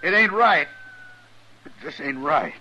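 A man's voice: on the high side, sing-song, a little slow, sharp tone, highs cut off, exaggerated voice. An actor playing a bitter, belligerent frontier father in a radio western, with a folksy, combative way of talking. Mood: bitter grief. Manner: folksy rural drawl, combative. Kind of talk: 1950s radio western acting.

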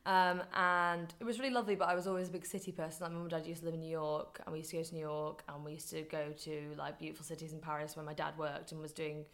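A woman's voice: deep voice, flat delivery, fast talker, few pauses, fairly bright tone. A woman in her twenties from Liverpool with a Scouse accent, a guest chatting casually in an interview and using lots of "like" fillers. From Liverpool, England. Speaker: podcast guest, campaigner.

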